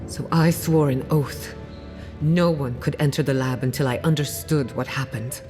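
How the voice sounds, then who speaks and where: Low-voiced, driven female scholar. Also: steady tone, moderate pace. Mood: somber, guarded. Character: driven, wry female scholar, guarded about family loss. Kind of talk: video game voice acting. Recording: music playing behind the voice.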